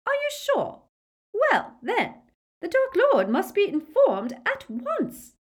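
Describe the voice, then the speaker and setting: A haughty villainous witch from a fantasy audiobook. The voice is high and shrill, swooping wildly as it sneers and shrieks. The manner is imperious and fanatical. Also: wildly swooping pitch, slow pace, exaggerated voice. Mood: shrill excitement. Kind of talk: audiobook character acting.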